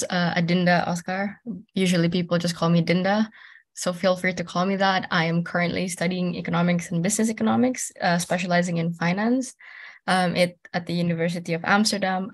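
A young woman from Indonesia, a university student speaking on a video-call panel, rambling and correcting herself. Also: lowish voice. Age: young adult. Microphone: laptop webcam on video call.

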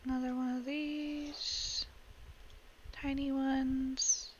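A woman's voice: high voice, little pitch movement, very slow, dull audio, slight background noise. A young woman casually chatting while she plays a building game, rambling with little laughs, her voice cracking now and then. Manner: chatty, rambling, giggly asides. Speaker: gaming vlogger.

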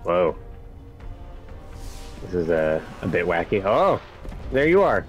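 A man's voice: low-pitched, sing-song, slow pace. A man chatting casually as a fellow gamer over voice chat, reacting to what is on screen. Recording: dull voice chat audio, music behind. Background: game cutscene music.

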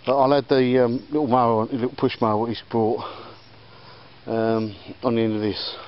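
A man's voice: mid-range voice, level tone, moderate pace. A man casually chatting while showing his auction finds, rambling with lots of ums and restarts as he films outdoors. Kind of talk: casual chat. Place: outdoors in a garden and workshop. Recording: slightly dull camera audio with faint background noise. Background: faint outdoor ambience and church bells.

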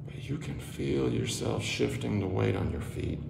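A man in his thirties teaching a movement practice while filming himself on a phone in a stairwell, talking slowly and dropping to murmurs. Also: lowish voice, lively intonation, creaky. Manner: slow, drawn-out, murmured asides, drops to near whisper. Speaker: movement instructor. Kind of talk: walking demonstration to phone camera. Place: indoor stairwell of a building. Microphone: phone camera held at arm's length. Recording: echoey stairwell with noisy ambience.

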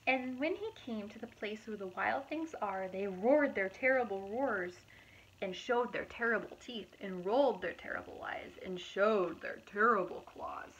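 A woman in her thirties, a preschool teacher, reads picture books aloud to young children in an expressive, animated manner with asides to her listeners. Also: mid-range voice, normal speed, lo-fi sound. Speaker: preschool teacher reading storytime.

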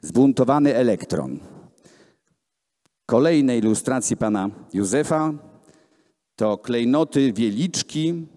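Slow, expressive male storyteller in echoing hall. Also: medium pitch. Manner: theatrical, deliberate storytelling with voiced dialogue. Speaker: reader at a gallery event. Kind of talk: expressive live reading of children's books. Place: large gallery hall with hard floor, indoors. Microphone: handheld microphone. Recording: echoey hall, amplified voice filmed from the room.

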